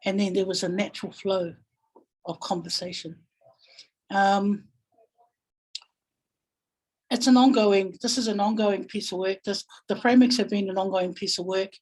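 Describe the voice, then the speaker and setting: A woman with a New Zealand accent speaking reflectively in a webinar, pausing thoughtfully and searching for her words. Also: mid-range voice, a little mellow, poor audio. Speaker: guest webinar speaker, family violence practitioner.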